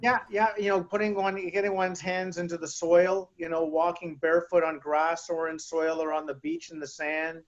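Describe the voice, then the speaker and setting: A man with a notably high voice talks through grounding and chakras over a video call. He hesitates often, with many fillers and restarts. The audio sounds dull and lo-fi. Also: flat delivery, normal speed, few pauses. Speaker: guest speaker on grounding and chakras. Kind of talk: casual explanatory talk over video call.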